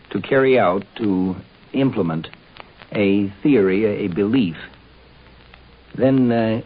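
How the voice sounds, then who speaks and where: A man with a fairly low voice hosts a vintage radio drama series. He explains in a deliberate, halting way. The recording is old and narrow, with faint noise behind him. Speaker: host and narrator. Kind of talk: measured spoken commentary on a radio drama.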